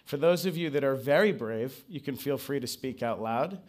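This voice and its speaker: A man's voice: mid-range voice, lively intonation, normal speed, darkish tone. A man speaking English as his first language, giving a conference presentation and drawing the audience in with questions. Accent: non-Brazilian English accent. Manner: engaging, asks the audience to raise hands. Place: conference stage.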